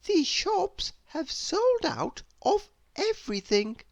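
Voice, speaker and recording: A woman's voice: very high-pitched, sing-song, slow pace, vocal fry, dry recording, voice pushed up, exaggerated voice. A woman voicing a sweet, naive, kind-hearted young princess from a storybook, earnest and dainty. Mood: explaining.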